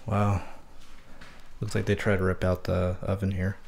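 A man's voice: low voice, monotone, unhurried, vocal fry, a little mellow, a little hiss or hum. A man casually narrating a walkthrough of an abandoned house, recorded on a handheld camera mic indoors. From Texas. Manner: laid-back, remarks on what he sees. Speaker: urban explorer host.

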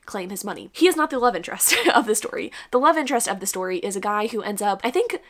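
A young woman talks rapidly and candidly to her camera about a book, peppering her sentences with fillers. Her voice sounds a little congested, and she speaks from a home room at desk distance from the camera. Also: medium pitch, expressive. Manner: chatty, many "like" fillers, candid. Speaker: book vlogger. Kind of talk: casual talking-to-camera book review. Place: home room with bookshelves, then bedroom.

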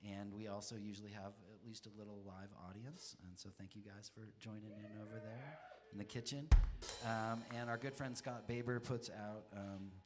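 A man's voice: lowish voice, steady tone, normal speed, hardly any pauses, over music. A man hosting a live community radio show from a living room, chatting casually and rambling a little with a guest between songs. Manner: rambling, friendly, restarts sentences. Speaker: radio show host and musician. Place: living room during a live band session. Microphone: stage vocal mic on a stand.

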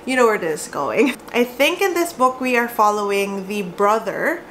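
A woman's voice: mid-range voice, animated, moderate pace, a bit bright, a little hiss or hum. A young woman from the Philippines with a Filipino accent, chatting casually to camera about books, giggling often. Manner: chatty and giggly, gestures a lot. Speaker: book vlogger. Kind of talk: casual to-camera book chat. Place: small cozy room indoors.

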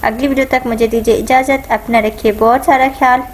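A woman hosting a home cooking channel, calmly talking viewers through each step of a recipe in a voice-over. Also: fairly high pitch, a little fast, soft background music. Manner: calm, instructive, repeats each step.

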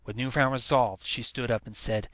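A man's voice: medium pitch, steady tone, moderate pace, a bit bright, dry recording. A man reading a story aloud as narrator, in a dramatic storytelling manner. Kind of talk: scripted story narration, fan fiction read-aloud.

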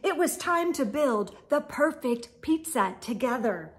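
High, animated woman reading a storybook. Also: unhurried. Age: middle-aged. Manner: expressive, exaggerated emphasis, sing-song refrains. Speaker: storytime read-aloud host. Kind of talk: animated children's storybook read-aloud. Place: indoor room with bookshelves.

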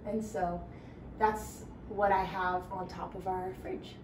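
A young woman gives a casual home tour, upbeat and chatty as she walks from room to room. Her voice sits at an ordinary middle pitch and carries a roomy echo, picked up from a few steps away. Faint room ambience hums underneath. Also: little pitch movement, takes it slowly, noisy background. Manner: upbeat and chatty, walking while talking. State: pregnant. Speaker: stay-at-home mom giving a home tour. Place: small home with kitchen, nursery and bathroom. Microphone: camera a few steps away. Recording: roomy, slightly distant sound, faint ambience.

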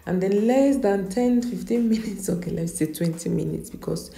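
A woman's voice: medium pitch, normal speed, gravelly, warm tone, echoey room. A woman, a mother, chatting casually about her meal prep at home in her kitchen, with many fillers and restarts. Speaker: cooking vlogger.

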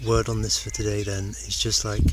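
Halting, low-voiced man musing outdoors. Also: flat delivery. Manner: halting, searching for words, musing. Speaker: vlogger. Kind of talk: rambling reflective monologue to camera. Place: outdoors in open countryside. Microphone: handheld camera at arm's length. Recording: noisy outdoor camera recording. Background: birds and outdoor noise.

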